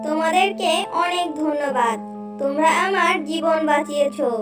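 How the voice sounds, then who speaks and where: An earnest young fairy, voiced by a woman for a children's cartoon, extremely high in pitch. She sounds roomy and set back from the mic, with music behind. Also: moderate pace, distant mic, natural voice. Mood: grateful, kind. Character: kind, grateful, defiant young female fairy.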